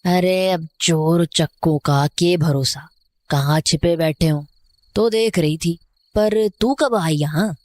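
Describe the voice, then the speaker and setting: A woman's voice: lowish voice, slow pace, a little mellow, dry recording. A woman playing a wary older village caretaker, folksy and cautioning, in a horror audio drama. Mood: flustered, evasive.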